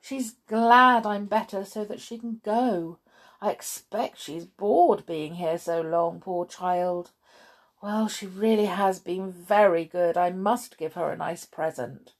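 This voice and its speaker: A woman's voice: medium pitch, takes it slowly. A woman voicing a kindly, lonely storybook spinster, fussy and protective, speaking with emphatic emotion. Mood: wistful, kindly.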